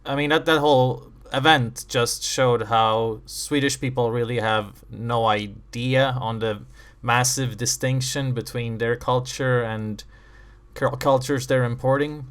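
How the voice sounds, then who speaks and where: A man from Bosnia hosting an interview, asking calm, measured questions. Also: mid-range voice, clear, resonant tone. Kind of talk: conversational interview.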